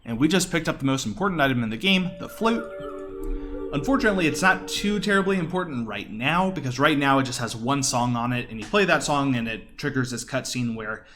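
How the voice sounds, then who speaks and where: A man's voice: medium pitch, lively intonation, fairly quick. Man doing casual game commentary over music. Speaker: speedrunner giving live commentary.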